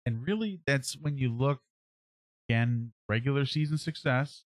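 A man in his thirties with a mid-pitched voice talks through sports analysis as a podcast host. He is casual and halting, working out his thoughts aloud. The sound is close and dry, with little room in it. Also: expressive, no room sound. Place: small indoor room at home, on a livestream webcam.

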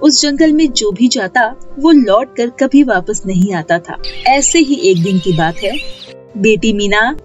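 A woman's voice: fairly high pitch, expressive, measured pace, hardly any pauses, darkish tone, dull audio, soft background music. A woman narrating a scripted moral story as a storyteller.

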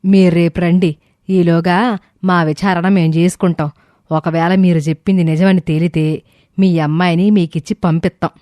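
Woman voicing a blunt, low-pitched old grandmother. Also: flat delivery, normal speed, warm tone, dry recording, close to an everyday voice. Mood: stern. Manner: blunt, challenging questioning.

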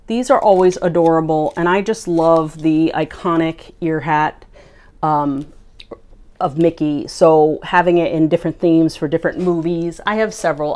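A woman's voice: low voice, darkish tone. A middle-aged woman chatting casually to camera from her craft room at home, thinking aloud with fillers as she shows items. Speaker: vlogger showing a haul.

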